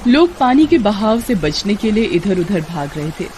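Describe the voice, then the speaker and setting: A woman's voice: mid-range voice, big pitch swings, normal speed, background noise. A woman narrating a scripted moral story as a storyteller.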